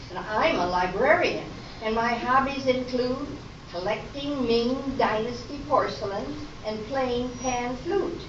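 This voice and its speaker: A woman's voice: a little high, lightly acted. Woman playing a genteel librarian, echoey and distant. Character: genteel, cultured female librarian game show contestant. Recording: echoey, distant, noisy dull live recording.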